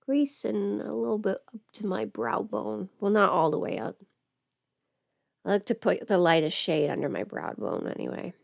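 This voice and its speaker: A woman's voice: medium pitch, normal speed, vocal fry, dry recording, studio quality. A woman livestreaming in casual, rambling chat as she does her makeup, informal and mildly sweary. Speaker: livestream host.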